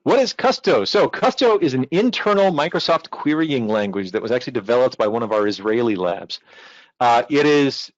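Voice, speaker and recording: A man's voice: medium pitch, sing-song, normal speed, dry recording. Man presenting, dull lo-fi audio. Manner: explanatory, informal asides. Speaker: presenter, technical instructor. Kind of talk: online technical presentation. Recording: dull lo-fi online-call audio.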